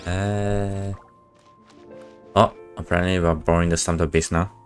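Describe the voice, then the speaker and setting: A game streamer chatting casually, with muttered interjections and deadpan asides. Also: deep voice, normal speed. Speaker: live streamer. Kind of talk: casual gameplay reactions. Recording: stream with game music behind.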